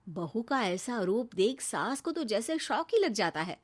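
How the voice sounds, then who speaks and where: A woman's voice: fairly high pitch, big pitch swings, measured pace. A woman reading scripted narration for a moral story as its narrator.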